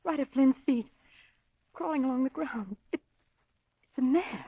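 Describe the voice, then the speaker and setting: A woman's voice: high voice, animated, moderate pace, slightly rough, no room sound, phone-quality sound, heavily acted. An actress playing an anxious but plucky lady companion, with dramatic exclamations in old radio drama style. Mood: horrified. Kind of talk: 1930s radio crime drama acting.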